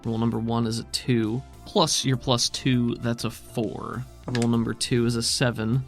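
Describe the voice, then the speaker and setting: A man's voice: medium pitch, flat delivery, measured pace, faint music behind. A man narrating a tabletop game as game master in a casual style.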